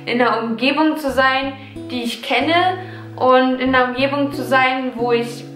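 A woman's voice: high voice, steady tone, measured pace, a bit bright. A young woman from Germany, in her twenties, chatting reflectively as a vlogger answering viewer questions from her sofa, sometimes searching for words. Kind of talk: casual Q&A vlog. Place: living room, seated on sofa. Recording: roomy echo with background music.

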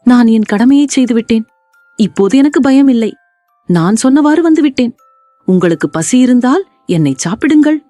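Woman as an earnest mother cow, lifted animated voice. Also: a little high, moderate pace, dry recording, soft background music, voice pushed up, natural voice. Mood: calm, resigned. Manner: earnest, pleading, polite. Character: honest, brave, gentle mother cow.

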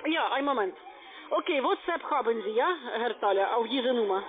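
High-pitched foreign-accented saleswoman on the phone. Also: animated, brisk. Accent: foreign accent in German. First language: not German. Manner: pushy, repeatedly tagging phrases with "ja". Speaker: call-center sales agent. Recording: tinny narrowband phone line.

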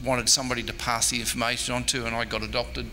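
A man's voice: mid-range voice, level tone, fast, bright, forceful tone. An Australian man in his sixties gives an informal talk, rambling along in a hesitant, self-deprecating way. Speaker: historian giving a convention talk. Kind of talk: informal off-the-cuff lecture and audience questions. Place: indoor convention meeting room. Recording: echoey hall, background noise.

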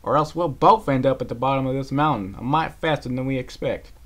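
A man's voice: mid-range voice, brisk, a bit bright, pitched above the performer's own voice, close to an everyday voice. A man voicing a plucky, no-nonsense female earth pony with a put-on rural Southern drawl and a folksy, blunt manner. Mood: impatient.

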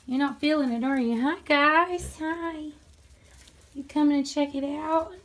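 A woman's voice: high voice, steady tone, unhurried, a bit bright, a little hiss or hum. A woman chatting casually while doing farm chores, rambling and laughing often, recorded outdoors and in a barn on a handheld phone. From Tennessee, USA. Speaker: homestead vlogger.

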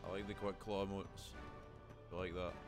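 Young man, low-pitched, casual game stream chatter, joking at himself, desk mic at home, game music behind. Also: flat delivery, moderate pace. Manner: self-mocking, chatty, laughs often. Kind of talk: casual live commentary over gameplay. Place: home room.

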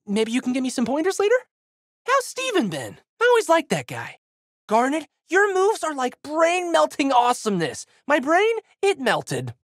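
Exuberant high-pitched teen boy hero. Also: wildly swooping pitch, normal speed, fairly bright tone, dry recording, clean studio sound, full character voice. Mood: admiring. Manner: exuberant, slangy, emphatic delivery. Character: spirited, goofy teenage boy hero, brash and big-hearted. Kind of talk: video game character battle lines.

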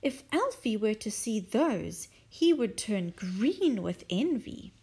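A woman voicing a sweet, kindly lady who dotes on her pet tortoise, speaking in a high, fluttery, pleading way. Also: very animated, slow, a little mellow, slightly rough, exaggerated voice. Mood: doting, pleading.

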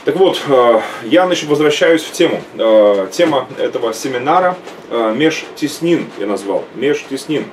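A middle-aged man gives a seminar as an archbishop, speaking slowly and stopping often to search for words. He is recorded by a webcam set back from him in a small home room. A faint background noise sits under his voice. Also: medium pitch, animated, far from the mic. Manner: hesitant, many drawn-out "uh" fillers. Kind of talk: teaching seminar. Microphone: webcam at desk.

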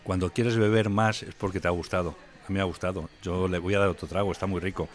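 A man with a very deep voice talks about wine in a casual podcast interview. His delivery is knowledgeable but rambling, full of small fillers and restarts. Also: steady tone, fast. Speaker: wine expert guest. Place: wine venue indoors.

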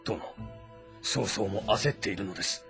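A man playing a composed, loyal strategist, calm and deferential in an audio drama. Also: on the low side, normal speed, creaky. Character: composed, loyal military strategist advising his lord. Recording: music behind dialogue.

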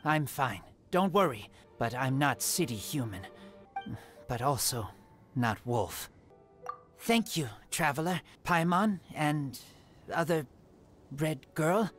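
An actor voicing an earnest teenage boy raised by wolves in a video game, with halting, broken phrasing. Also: fairly high pitch, expressive, fairly bright tone, faint music behind. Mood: subdued, earnest. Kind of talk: scripted video game dialogue.

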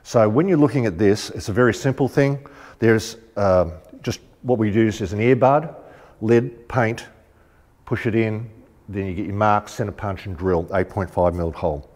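Aussie guy in his 50s showing off tent gear, lowish voice, big warehouse echo and faint music behind. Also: normal speed, a little mellow. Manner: chatty, practical, thinks aloud with frequent ums. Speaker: shop presenter demonstrating rooftop tents. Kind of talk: casual product demonstration. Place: large warehouse showroom indoors.

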